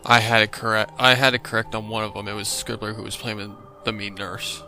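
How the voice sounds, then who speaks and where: A man's voice: fairly low pitch, flat delivery, fairly quick, pushed and bright, soft background music. A man giving casual, rambling reaction commentary as the host of a video. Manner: informal, rambling.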